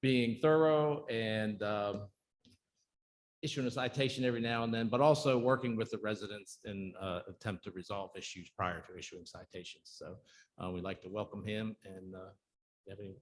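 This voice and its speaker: A man serving as police chief, giving halting, measured remarks with many fillers at a town council meeting. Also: on the low side.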